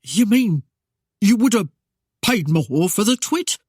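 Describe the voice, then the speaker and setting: A man's voice: high-pitched, very animated, unhurried, no room sound, studio quality, voice pushed up, full character voice. A man voicing a greedy, cowardly middle-aged mayor with a whining, coarse manner. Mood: wheedling. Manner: whining, wheedling, coarse dialect speech. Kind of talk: audiobook character voice.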